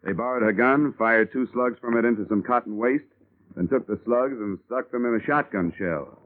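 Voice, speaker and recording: An actor playing a hardboiled, wisecracking insurance investigator, narrating in clipped, wry old-time radio detective style. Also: male voice, mid-range voice, moderate pace, close to an everyday voice. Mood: confident, brisk. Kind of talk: 1950s radio detective acting, first-person narration. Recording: old phone-like radio recording with music behind.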